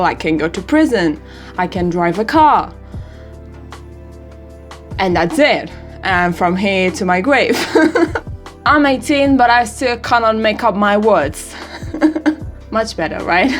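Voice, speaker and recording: An eighteen-year-old woman from Europe, English not her first language, chatting casually in a rambling, giggly, self-deprecating vlog. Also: medium pitch. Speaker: vlogger. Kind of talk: casual birthday vlog chat.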